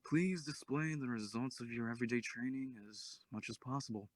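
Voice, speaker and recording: A man playing a deadpan, ominous tournament organizer giving a formal address in an amateur audio drama. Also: mid-range voice, a little fast, lightly acted.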